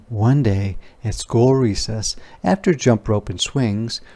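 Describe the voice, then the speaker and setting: A man narrating a children's picture book aloud in a deliberate, expressive storytelling style. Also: lowish voice.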